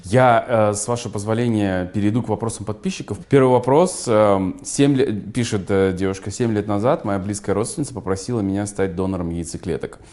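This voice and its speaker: A man's voice: lowish voice, brisk, hardly any pauses, a little mellow. A man hosting an interview, giving short murmurs of agreement and reading viewers' questions aloud. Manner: brief listening murmurs, reads questions aloud. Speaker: interview host.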